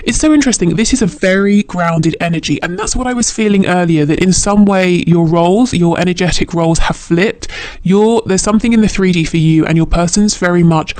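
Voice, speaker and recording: A man with a fairly high voice reads tarot for the listener. He speaks off the cuff, reflecting aloud as he interprets. Phrases often get repeated or reworked along the way. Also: fairly quick, darkish tone, no room sound. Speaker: tarot reader. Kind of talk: intuitive tarot reading, unscripted, addressed to the listener.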